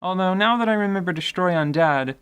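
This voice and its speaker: A man with a voice a little higher than most hosts a podcast about tabletop gaming. His commentary sounds partly scripted, with articulate, wordy phrasing. He slips in wry, playful asides as he goes. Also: a little fast, fairly bright tone, clear, resonant tone. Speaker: podcast host.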